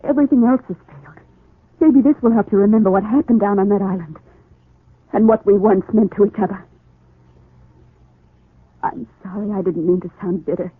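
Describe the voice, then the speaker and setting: A woman's voice: mid-range voice, moderate pace, pauses often, breathy edge, natural voice. An actress playing a lovelorn, insistent woman in a 1940s radio soap opera, imploring and intense. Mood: pleading. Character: lovelorn, insistent woman pleading with the man she loves. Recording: tinny, echoey 1940s radio recording.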